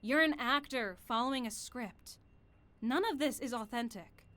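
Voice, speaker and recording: A woman's voice: on the high side, normal speed, fairly bright tone, lightly acted. A woman playing an ambitious exiled royal in an audio drama, with an imperious, earnest and assured way of talking. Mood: proud, defiant. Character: proud, ambitious exiled young female royal, would-be conqueror.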